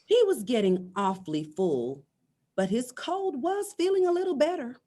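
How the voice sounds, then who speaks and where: A woman reads her part of a scripted story aloud. Her voice sits a little high and swoops widely from phrase to phrase. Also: very animated, normal speed, warm tone. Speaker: narrator. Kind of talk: scripted reader's theater storytelling.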